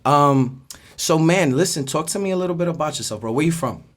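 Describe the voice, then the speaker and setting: A man in his thirties hosting a casual interview, excitable and slangy, repeating phrases for emphasis. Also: on the high side, a little fast. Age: 30s. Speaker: interview host. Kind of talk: casual hype chat.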